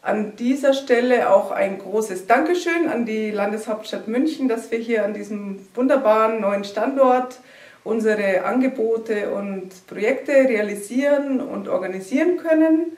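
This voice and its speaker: A woman giving a measured, formal presentation of a social organization to camera. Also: on the high side, a little mellow. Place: room in front of a banner. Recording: echoey room, mic at a distance.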